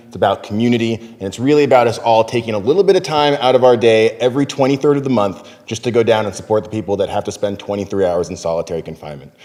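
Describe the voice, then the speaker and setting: A man's voice: mid-range voice, darkish tone. A man in his thirties giving an informal, fluent, appreciative speech as an activist at a podium microphone. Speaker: activist speaker. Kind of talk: informal spontaneous public speech. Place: large synagogue hall, indoors. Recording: reverberant hall sound.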